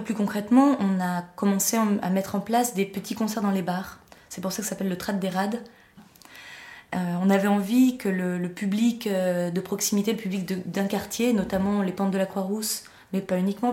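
A woman's voice: mid-range voice, darkish tone, reverberant. A woman of Argentine family background, a cultural association organizer who speaks hesitantly with many fillers. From Argentine parents. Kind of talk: scripted narration then interview.

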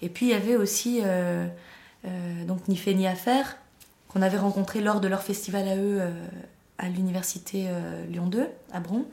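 A woman's voice: mid-range voice, a little mellow, roomy sound. A woman of Argentine family background, a cultural association organizer who speaks hesitantly with many fillers. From Argentine parents. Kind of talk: scripted narration then interview.

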